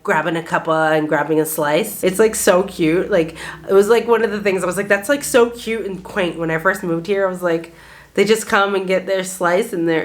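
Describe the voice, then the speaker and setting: A woman in her thirties with a general American accent and a faint Boston lilt, rambling casually to camera with lots of "like"s. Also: lowish voice. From Boston area, United States. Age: 30s. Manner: chatty, rambling, many "like"s, laughs often. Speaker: vlogger.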